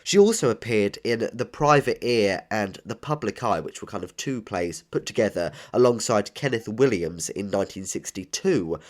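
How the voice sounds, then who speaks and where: A man hosting a tribute podcast, nasal and a bit bunged up with a cold, earnestly listing credits with enthusiasm. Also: lowish voice. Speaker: podcast host. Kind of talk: scripted tribute podcast narration.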